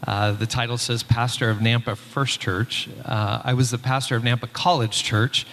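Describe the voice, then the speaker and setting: Man, conference paper talk, relaxed with fillers, middle pitch, long hall echo. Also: normal speed, a little hiss or hum. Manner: relaxed, speaks with frequent "uh" fillers. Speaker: pastor and church superintendent presenting a paper.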